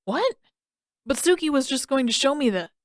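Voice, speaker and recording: A woman voicing an excitable, flustered teenage boy with an exasperated manner in a story. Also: high voice, normal speed, no room sound, studio quality, voice pushed up.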